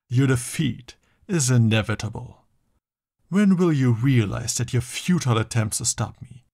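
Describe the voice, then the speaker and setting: A man's voice: mid-range voice, gravelly, a little mellow. A man voicing a grandiose, scornful supervillain, declaiming a theatrical monologue with contempt in an audio roleplay. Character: grandiose male supervillain, menacing, scornful of heroes.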